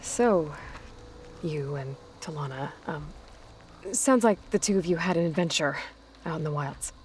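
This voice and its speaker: An actress playing a practical, resolute young female scout in a naturalistic video game performance, measured and matter-of-fact. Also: sits low, a little mellow, slight background noise. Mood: curious.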